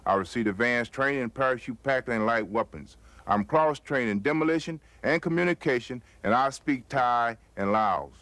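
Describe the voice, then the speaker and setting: A man's voice: mid-range voice, normal speed, fairly bright tone, dry recording. A man, an army operations sergeant, introduces himself in a scripted way. He recites his duties plainly and steadily, and the recording is dry. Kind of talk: scripted military self-introduction.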